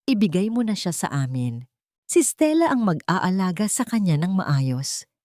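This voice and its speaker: A woman's voice: fairly low pitch, sing-song, unhurried, warm tone, no room sound, studio quality. A woman voicing a haughty, wealthy older mother-in-law in an audio story, scornful and commanding. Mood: scornful, demanding.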